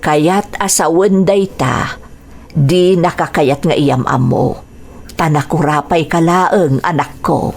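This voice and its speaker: A woman voicing a stern, protective older mother who gives slow, measured advice in a radio drama. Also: sits low, creaky, a little mellow, pitched below the performer's own voice, exaggerated voice. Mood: grave, advising.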